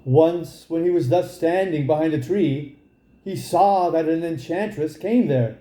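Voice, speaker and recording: Slow, mellow man reading a fairy tale. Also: a little high, roomy sound. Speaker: storybook reader. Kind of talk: expressive fairy tale narration.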